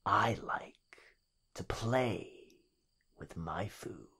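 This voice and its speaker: A man voicing a possessive, predatory living shadow in a yandere ASMR roleplay, husky and half-whispered, taunting with menacing, mock tenderness. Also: fairly low pitch, sharp tone, heavily acted. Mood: smug, menacing. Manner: slow, menacing, mockingly tender.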